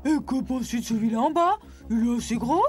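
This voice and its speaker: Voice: medium pitch, animated, fast, close to an everyday voice. A performer voicing a grumpy, sceptical animal sidekick in a cartoon, with a sardonic, doubting manner. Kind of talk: cartoon dub in French. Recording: prominent music behind the voice.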